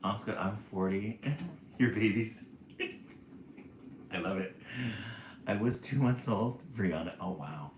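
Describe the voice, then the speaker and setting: A man's voice: on the low side, slow, pauses often, slight background noise. A man in his forties hosting a casual live stream, chatting and reading out viewer comments. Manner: chatty, reads viewer comments aloud, laughs often. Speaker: livestream host. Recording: roomy echo.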